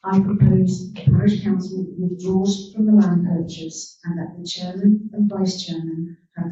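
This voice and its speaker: A woman councillor at a formal meeting, putting forward a motion and reading its wording slowly and carefully. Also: fairly low pitch, warm tone, slight background noise. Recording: distant, echoey, dull room mic.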